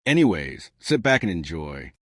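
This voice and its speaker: A man's voice: low-pitched, very animated, normal speed, noisy background. A man hosting a gameplay video, reading a scripted introduction.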